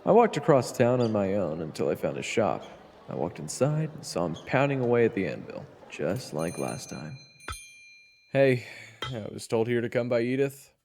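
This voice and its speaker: A man acting as a young swordsman, glum and wry, narrating a fantasy audio story. The deep voice is gravelly yet expressive in pitch. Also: normal speed, slight background noise. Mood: wry, weary. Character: glum, wry young male swordsman telling his tale. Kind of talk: first-person scripted narration and audio roleplay acting.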